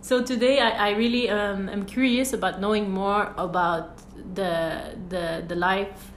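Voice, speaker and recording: A woman hosts a podcast interview in a hesitant manner, often pausing and restarting. Her voice sits at a middle pitch. The room is echoey, with faint background noise. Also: steady tone. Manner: hesitant, many fillers and restarts. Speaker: podcast host. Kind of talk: interview conversation.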